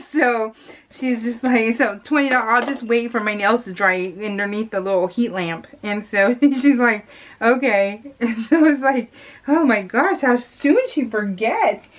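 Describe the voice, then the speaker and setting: A woman's voice: a little high, a bit bright. A middle-aged American woman telling personal stories in a casual, rambling, giggly way and acting out remembered conversations. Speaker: craft video blogger. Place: voice-over talk recorded while crafting.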